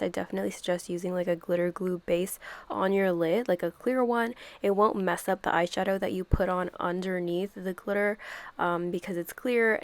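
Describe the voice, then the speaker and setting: A woman's voice: lowish voice, no room sound. Congested young woman, chatty makeup tutorial. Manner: chatty, lots of "like" and "kind of". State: congested from a cold. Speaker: makeup YouTuber. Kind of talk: casual makeup tutorial voice-over.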